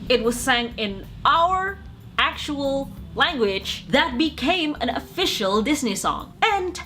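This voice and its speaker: A young Indonesian woman with a slightly high voice, talking in English with an Indonesian accent. She rambles happily and gushes like a fan while reviewing a film for her channel. A faint hiss sits under her voice. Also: animated, slow, sharp tone. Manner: rambling and enthusiastic, fangirling. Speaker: YouTube movie reviewer. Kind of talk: casual chatty review.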